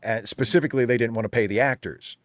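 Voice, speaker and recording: A man's voice: mid-range voice, fast, dry recording, studio quality. A man giving his opinions in casual panel chat as a guest, building his argument emphatically. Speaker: returning guest commentator on a live show. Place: remote video call.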